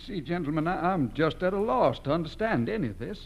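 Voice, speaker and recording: Nervous, evasive man, swooping pitch. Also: sing-song, fairly quick, dull audio. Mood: defensive, evasive. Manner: hesitant, stammering. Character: nervous, evasive garage owner under questioning. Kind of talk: 1940s radio acting. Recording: noisy, humming 1940s radio recording.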